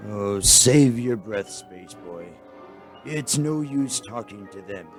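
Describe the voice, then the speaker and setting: An actor playing a mild, world-weary alien chancellor with a formal, hesitant, stagey manner in a comic radio play. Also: male voice, on the low side, lively intonation, slow pace, soft background music. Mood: dejected.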